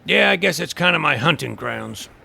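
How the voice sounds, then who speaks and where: An actor playing a shady, nosy drifter in an audio drama, sly and laconic with a gravelly voice. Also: male voice, fairly high pitch, expressive, moderate pace, sharp tone, slight background noise, heavily acted. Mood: sly, cryptic. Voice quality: gravelly, creaky. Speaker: character actor.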